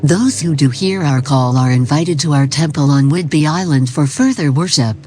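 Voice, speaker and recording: A performer playing a calm, formal cult recruitment narrator, reading a scripted instructional tape in a measured, solemn way. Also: mid-range voice, close to an everyday voice. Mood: serene, ominous. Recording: 1990s tape-style narration over music. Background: music.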